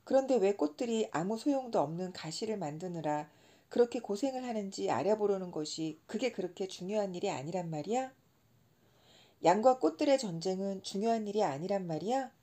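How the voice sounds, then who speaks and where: A woman's voice: mid-range voice, animated, brisk, warm tone, lightly acted. A woman reading as an earnest, stubborn little prince. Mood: upset. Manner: pleading, insistent questioning.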